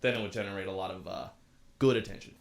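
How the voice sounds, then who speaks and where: A young man in his twenties, a guest on a podcast, chatting casually and loosely with lots of fillers, speaking into a boom-arm studio mic. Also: quick pace, creaky. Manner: relaxed, filler-heavy storytelling. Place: dark studio, indoors.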